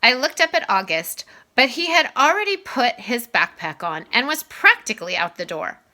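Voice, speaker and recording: A woman reading a children's novel aloud in an expressive, emphatic storytelling style. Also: a little high, normal speed. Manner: animated, dramatized dialogue with emphatic delivery.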